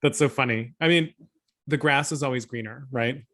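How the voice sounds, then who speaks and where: A man's voice: mid-range voice, moderate pace, fairly bright tone. A man in his thirties hosting an online interview, chatty and upbeat, speaking over a video call from a room at home. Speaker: host.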